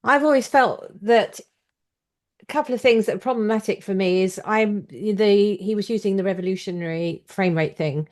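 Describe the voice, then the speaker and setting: A woman's voice: medium pitch, moderate pace. A woman hosting a podcast interview, conversationally offering her own opinions and questions.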